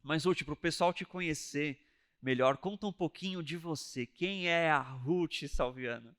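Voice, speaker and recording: A man's voice: a little high, a little slow, a bit bright. A man hosting a church talk show, introducing guests with effusive enthusiasm. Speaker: host.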